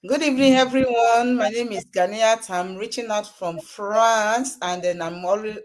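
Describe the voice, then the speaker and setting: A woman's voice: on the high side, normal speed. A woman with a Nigerian accent casually introducing herself as a podcast co-host.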